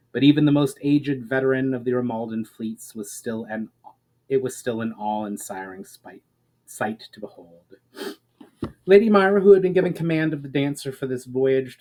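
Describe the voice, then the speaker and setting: A man reading his own fantasy story as a dramatic narration, deliberate in delivery, drawing out the ends of phrases. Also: mid-range voice, darkish tone.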